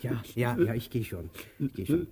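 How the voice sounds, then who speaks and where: An actor playing a coarse, petulant tramp in a radio drama, whining and grumbling bluntly. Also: male voice, mid-range voice, big pitch swings, normal speed, heavy background noise. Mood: sheepish.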